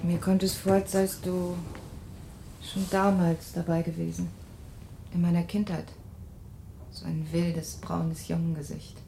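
An actress playing an anxious, tender refugee woman in an intimate radio play, with wistful, searching phrasing and a creaky voice. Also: fairly low pitch, level tone, measured pace, pauses often, warm tone. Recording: roomy sound with faint ambient noise.

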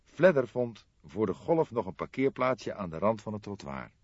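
A man's voice: lowish voice, sing-song, normal speed, gravelly, breathy edge, no echo, dull audio. A man reading scripted literary narration as an audiobook narrator.

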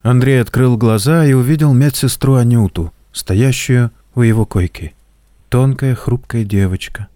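A man narrating an audio drama in a measured, deliberate storytelling manner. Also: medium pitch, dark tone, no echo, clean studio sound. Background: faint music.

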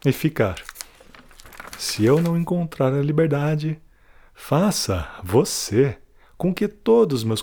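A man playing a weary, guilt-ridden prisoner who confides a farewell testimony in a solemn, deliberate audio drama monologue. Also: mid-range voice, warm tone, pitched above the performer's own voice.

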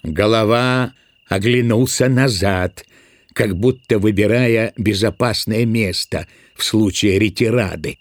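A man narrating a literary audio drama in a measured, expressive storytelling style. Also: on the low side, dry recording, close to an everyday voice.

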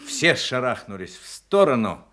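A man's voice: medium pitch, very animated, measured pace, fairly bright tone. An actor playing a tender, weary war veteran father in a Soviet radio drama. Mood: warm, reminiscing.

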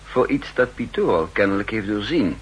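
An actor playing a shrewd, self-assured chief of counter-espionage in a 1960s radio drama, pedantic, dryly ironic and in command. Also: male voice, lowish voice, animated, moderate pace, breathy edge. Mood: assured, explaining. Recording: old 1960s radio recording.

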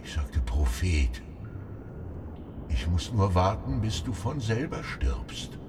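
An actor playing a brooding, stubborn old whale in a literary radio drama, speaking solemnly and deliberately. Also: male voice, sits low, big pitch swings, big-room sound, heavy background noise, exaggerated voice. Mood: grim, recounting. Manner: solemn, slow, deliberate, retelling and questioning.

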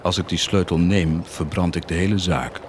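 A man's voice: low voice, fairly even intonation, moderate pace, a little hiss or hum, close to an everyday voice. An actor playing a cynical, blasphemous army commander who is proud of his wickedness, in a theatrical, declamatory radio drama style with defiant outbursts. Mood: brooding, scornful. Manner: declamatory, defiant, swinging from muted menace to outbursts.

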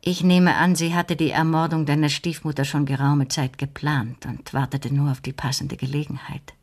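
An actress playing a shrewd, composed, lonely older woman in a German radio crime drama, husky and measured. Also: sits low, level tone, darkish tone. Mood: pensive, explaining. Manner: measured, deliberate reasoning.

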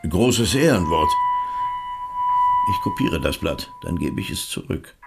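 Low, lively older male investigator. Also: a little slow, creaky, over loud music, full character voice. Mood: patient, curious. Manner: probing, patient, sceptical questioning. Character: older male investigator near retirement, patient, persistent, sceptical. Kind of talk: naturalistic German radio drama dialogue.

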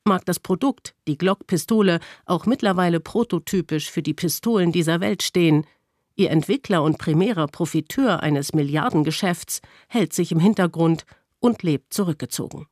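A woman's voice: on the low side, normal speed, a little mellow, no echo, studio quality. A woman reading a scripted programme introduction in a factual, articulate way. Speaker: programme announcer.

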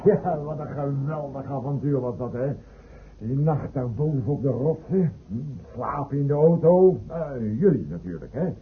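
An actor playing a boastful, excitable family man in a radio comedy drama, blustering and repeating himself. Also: mid-range voice, moderate pace, natural voice. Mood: boastful, reminiscing. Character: boastful, excitable husband and son on family camping trip. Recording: muffled noisy old radio play recording.

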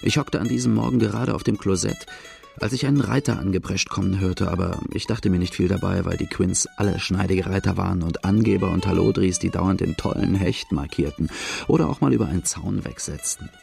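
Gravelly, low-voiced young outlaw narrator. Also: male voice, a little fast, a little mellow, soft background music. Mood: plain, reflective. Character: young Australian farm-boy outlaw recounting his hard youth. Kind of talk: first-person radio drama narration.

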